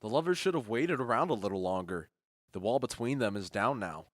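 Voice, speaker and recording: An actor playing a witty, indulgent duke who comments wryly in a comic audio drama. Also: male voice, fairly low pitch, lively intonation, fairly quick, no echo, clean studio sound. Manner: wry, amused commentary. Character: witty, indulgent duke watching an amateur play. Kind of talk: modernized Shakespeare audio drama comedy.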